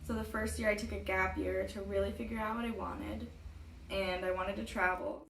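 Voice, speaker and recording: A woman's voice: mid-range voice, little pitch movement, normal speed, creaky, slight background noise. Upbeat young woman, echoey and distant. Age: 20s. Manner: upbeat, giggly, lots of "like". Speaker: student interviewee. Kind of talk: casual chat.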